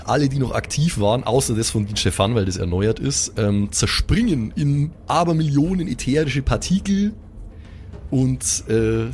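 Guy running a pen and paper game, mock-dramatic storytelling, medium pitch, bit of background noise. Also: lively intonation, moderate pace, a little mellow. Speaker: game master. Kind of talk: improvised narration of a tabletop role-playing game.

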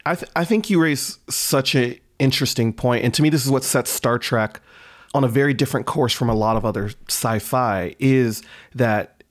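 A man's voice: medium pitch, normal speed. Earnest man in reflective conversation. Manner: earnest, philosophical, checks in with "right?".

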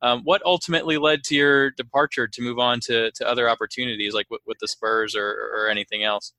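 A man's voice: medium pitch, steady tone, fairly quick, pushed and bright, no room sound. A man hosting a casual interview, polite and appreciative, phrasing his questions a little hesitantly. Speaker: podcast host, interviewer.

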